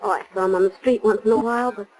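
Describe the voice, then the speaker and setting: A woman's voice: mid-range voice, fairly even intonation, very fast, a little mellow, lightly acted, poor audio. An actress playing a reserved, subdued working woman who answers tersely, in 1940s radio drama style. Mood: guarded, somber. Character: guarded, subdued working woman, switchboard operator. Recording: remastered 1940s radio recording.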